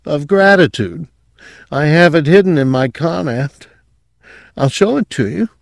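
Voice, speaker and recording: Man doing a drugged, high-pitched mumbling man. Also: animated, measured pace, creaky, no room sound, studio quality. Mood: dreamy. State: drug-sedated, drowsy. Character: drugged, half-conscious man mumbling secret memories of aliens.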